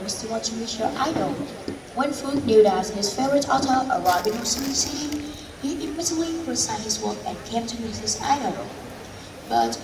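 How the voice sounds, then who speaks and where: A woman playing an upbeat male confidence coach for students in a school role-play, speaking in an earnest, recited way. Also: sits high. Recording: echoey hall, distant mic, background noise.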